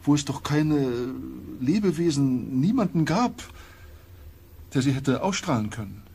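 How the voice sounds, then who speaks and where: An actor playing a weary, haunted empathic explorer in a science-fiction radio play, speaking haltingly and deliberately. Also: male voice, medium pitch, lively intonation, warm tone, husky. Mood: uneasy, wondering.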